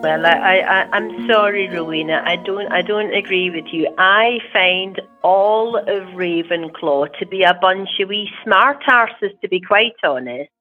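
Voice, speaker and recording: A woman's voice: mid-range voice, measured pace, few pauses, soft background music, natural voice. Woman as a blunt Scottish ghost, thin phone-like sound. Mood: disdainful. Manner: blunt, sardonic, sweary. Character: fed-up, mischievous female Hogwarts ghost, centuries-weary. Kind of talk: improvised comedy audio sketch.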